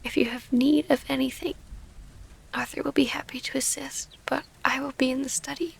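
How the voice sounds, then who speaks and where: A woman playing a gentle, formal vampire noblewoman and wounded lover in an intimate ASMR roleplay, speaking in a soft, breathy half-whisper. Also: high-pitched, level tone, moderate pace. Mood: resigned, cool.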